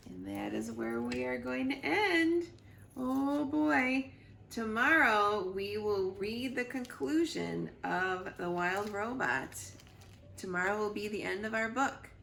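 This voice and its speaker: Woman, storybook read-aloud, slow, expressive, slightly high, roomy echo, faint noise. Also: creaky. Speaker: reader, school librarian or teacher. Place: living room at home.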